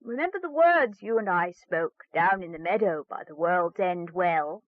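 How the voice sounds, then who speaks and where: A woman voicing an enchanted frog in a fairy tale, croaking coaxing, singsong pleas full of endearments. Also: fairly low pitch, animated, moderate pace, no echo, narrow, tinny audio, close to an everyday voice. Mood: pleading, insistent. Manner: singsong, rhyming entreaties with endearments. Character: goggle-eyed enchanted frog, coaxing and insistent. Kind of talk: fairy tale storybook reading.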